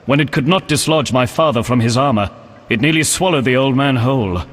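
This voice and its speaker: An actor playing an earnest, pious knight in a video game, speaking in a formal, courteous and solemn manner. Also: male voice, mid-range voice, fairly quick, lightly acted. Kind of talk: video game character acting. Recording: long echoing reverb.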